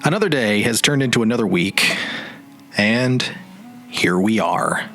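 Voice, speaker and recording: A man's voice: lowish voice, lively intonation, unhurried, vocal fry, a bit bright. A man hosting a solo podcast in a casual, reflective and rambling way, often searching for words. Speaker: podcast host. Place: home office indoors. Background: music.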